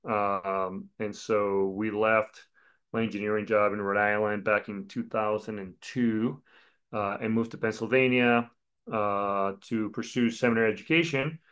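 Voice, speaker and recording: Colombia-born guy in his 40s, pastor, talks easy with lots of ums, smooth and resonant. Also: fairly low pitch, a little slow. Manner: relaxed storytelling with many fillers. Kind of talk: podcast interview.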